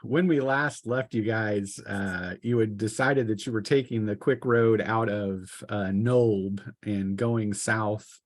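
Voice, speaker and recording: Halting man running a tabletop game. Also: fairly low pitch, no room sound, studio quality. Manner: halting, hesitant delivery. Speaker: game master. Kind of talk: improvised tabletop game narration.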